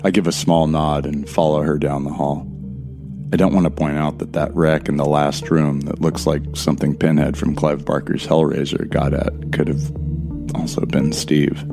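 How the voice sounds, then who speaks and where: A bitter, haunted narrator in a horror audio drama. His voice is extremely low and stays fairly flat, brooding over every detail with terse, profane tension. Music plays clearly behind him. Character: tense, bitter young man narrating his horror ordeal.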